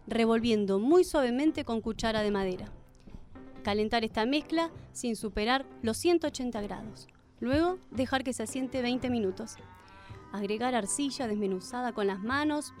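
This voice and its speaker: A high-voiced young woman, played by an actress, earnest and polite in a radio play. She is somewhat hesitant, with soft music behind her. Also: natural voice. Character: earnest young niece, a hesitant healer and cook. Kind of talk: radio drama acting.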